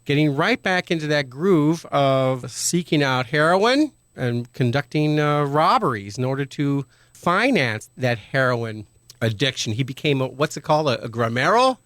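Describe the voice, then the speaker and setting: A man's voice: medium pitch, lively intonation, normal speed, fairly bright tone, dry recording. A man hosting a radio talk show, interviewing a guest in an earnest, opinionated way. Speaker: radio host.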